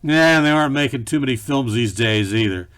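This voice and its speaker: A man playing a deadpan, wisecracking noir private eye in a campy radio play. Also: medium pitch, a little fast, slight background noise, lightly acted. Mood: wry. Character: wry, hardboiled film noir private detective.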